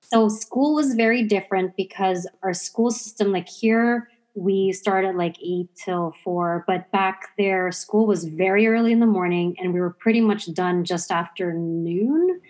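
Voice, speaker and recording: A woman's voice: mid-range voice, unhurried, few pauses, a little mellow, low-quality recording. Woman in her 40s, grew up in zambia, guest on a podcast, earnest and chatty. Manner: earnest, fillers like "like" and "so". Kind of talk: personal storytelling in an interview. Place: remote podcast recording.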